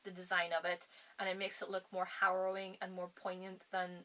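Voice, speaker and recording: A woman with a bright, forward voice that sounds somewhat strained from overuse. She talks in a casual, chatty vlog style, giving her opinions with open enthusiasm. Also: on the low side, completely flat delivery, a little fast, poor audio. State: strained voice from long filming. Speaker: book reviewer vlogger.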